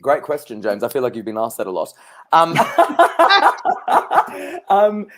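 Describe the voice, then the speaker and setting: A man's voice: fairly high pitch, wildly swooping pitch, slow pace, a bit bright, slight background noise. Chatty man in his thirties. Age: 30s. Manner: chatty, anecdotal, laughs easily. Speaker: psychic guest. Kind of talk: casual interview chat.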